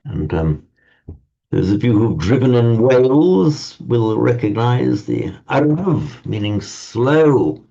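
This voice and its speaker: A man teaching a Sanskrit class in a relaxed lecture manner, often stammering and restarting words. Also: medium pitch, takes it slowly, gravelly. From parents from Ireland. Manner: hesitant, repeats and restarts words. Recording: dull lo-fi sound.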